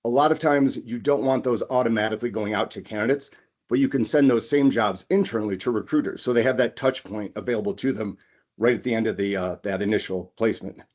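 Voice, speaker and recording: A man's voice: on the low side, fast, a little mellow. A man co-presenting a webinar as an automation consultant, explaining things conversationally through practical examples. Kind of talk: conversational business software presentation.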